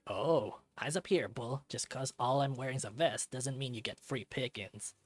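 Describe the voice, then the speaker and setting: A man's voice: medium pitch, moderate pace, close to an everyday voice. A man voicing a laid-back, brash and teasing lion guard in a visual novel, with a cocky, casual manner. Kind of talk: visual novel character reading.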